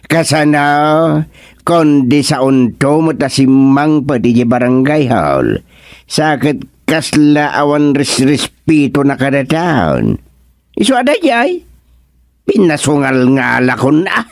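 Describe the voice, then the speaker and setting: Animated Ilocano village official, played by a man. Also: a little high, slow, gravelly, no echo, clean studio sound, exaggerated voice. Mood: amused, gossipy. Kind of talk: Ilocano radio comedy drama acting.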